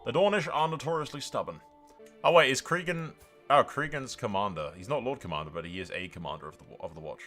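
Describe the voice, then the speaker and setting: A man's voice: medium pitch, fast talker, fairly bright tone, faint music behind. A man hosting a gaming video, giving casual, improvised commentary that drifts into dramatic storytelling, sweary and speaking straight to viewers.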